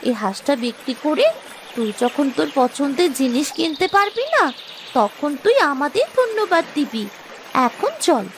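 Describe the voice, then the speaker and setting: A woman voicing excitable, squabbling young village sisters in a children's moral-story cartoon, with exclamatory, theatrical delivery. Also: sits high, moderate pace, slight background noise. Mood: eager, dismissive.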